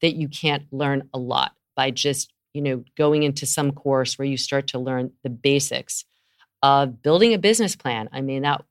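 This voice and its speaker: Low smooth-voiced middle-aged woman host, chatty and upbeat. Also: moderate pace. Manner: enthusiastic, chatty, laughs easily. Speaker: podcast host, yoga teacher. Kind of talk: conversational interview.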